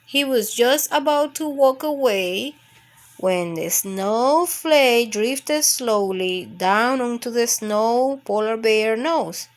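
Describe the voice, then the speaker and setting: A woman's voice: fairly high pitch, slow. A woman reading a picture book aloud as a storytime narrator for young children.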